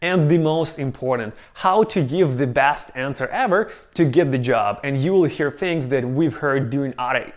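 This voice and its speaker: A man leads a casual discussion on a video call. His voice sits at a middle pitch. He talks in an upbeat, energetic way. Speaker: host, bootcamp founder.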